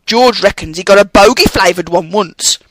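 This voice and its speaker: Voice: mid-range voice, normal speed, dry recording, natural voice. A reader voicing a blunt, offhand young wizard boy in a storybook reading.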